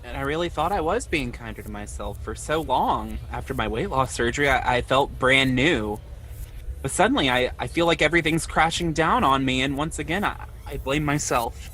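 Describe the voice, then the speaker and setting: An actor playing a lonely, ashamed man in his twenties, speaking in a halting, confessional way in an audio drama. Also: mid-range voice, bright, forceful tone, slight background noise, close to an everyday voice. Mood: self-blaming, anguished. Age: 20s. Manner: halting, confessional, repeats himself. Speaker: podcast host acting in own audio drama.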